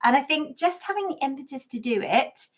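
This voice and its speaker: A woman's voice: sits high, expressive, brisk. An English woman co-hosting a podcast livestream, speaking candidly and with self-deprecating humor, often laughing. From England. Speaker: podcast co-host and writer. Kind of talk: casual livestream chat.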